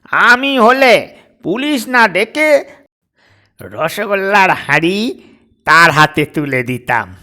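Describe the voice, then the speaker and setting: Man acting fussy, excitable older lawyer, swooping pitch. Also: slow pace, long pauses, exaggerated voice. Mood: excited, indignant. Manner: emphatic, rambling, declamatory. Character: fussy, talkative older father-in-law, lawyer, easily excited.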